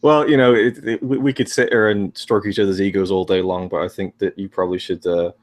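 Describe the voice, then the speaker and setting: A man's voice: low-pitched, fairly even intonation, a little fast. A young man talking as a guest on a casual live stream chat, hesitant and often repeating words as he starts sentences.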